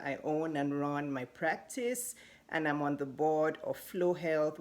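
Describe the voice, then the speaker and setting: Low-voiced Nigerian woman coaching. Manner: encouraging, chatty, laughs between phrases. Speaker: life coach. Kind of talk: casual motivational talk to camera.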